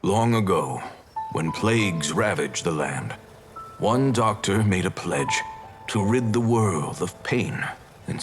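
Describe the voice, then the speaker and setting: A man giving solemn, scripted narration of an old legend for a game. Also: low-pitched. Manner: solemn, storytelling.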